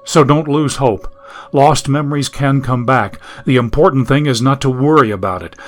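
A man reading an audiobook, voicing a calm modern man who steadily reassures a frightened friend. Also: mid-range voice, normal speed, faint music behind, voice pushed down, close to an everyday voice. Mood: reassuring. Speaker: audiobook narrator.

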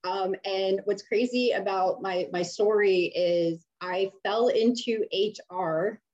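A woman's voice: medium pitch, takes it slowly, a little mellow. A woman from Miami, an HR leader, telling her career story in a chatty interview with many fillers. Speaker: HR leader, guest. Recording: slightly dull lo-fi audio.

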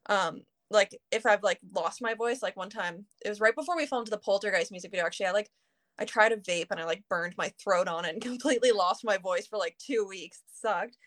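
A woman's voice: medium pitch, a little fast, a bit bright. A woman in her early twenties from Canada, a singer chatting casually as a guest in an interview and leaning on "like" between phrases. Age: early 20s. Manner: chatty, heavy use of "like" fillers.

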